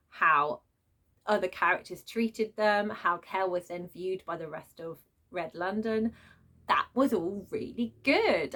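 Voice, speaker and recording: Emphatic woman ranting about her opinions. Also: mid-range voice. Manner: emphatic, expressive, informal. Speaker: book reviewer vlogger. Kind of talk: unscripted opinionated rant to viewers.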